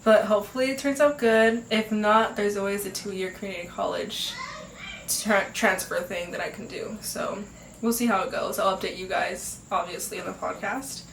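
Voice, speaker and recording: A woman's voice: medium pitch, moderate pace, gravelly, a little hiss or hum. A teenage girl hosting her own podcast, chatting casually with lots of fillers, sounding a bit nasal from congestion. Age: teens. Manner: chatty, upbeat, many fillers and laughs. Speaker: podcast host. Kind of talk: casual chat. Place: home room. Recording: echoey home room, faint ambience.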